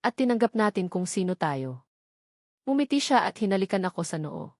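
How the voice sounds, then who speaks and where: A woman reading a scripted story as narrator, in an earnest, sentimental and measured dramatic style. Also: medium pitch, very animated, darkish tone, dry recording, clean studio sound.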